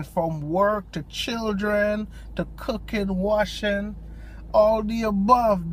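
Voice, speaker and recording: Slow jamaican-accented preacher in a car, lots of pauses, gets louder reading psalms. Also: mid-range voice. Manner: halting, with frequent pauses and restarts. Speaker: lay preacher. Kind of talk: informal devotional exhortation. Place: parked car at a park.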